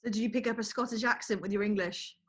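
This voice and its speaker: A woman's voice: medium pitch, fairly even intonation, quick pace. A woman sports reporter interviewing a footballer, who asks chatty, leading questions.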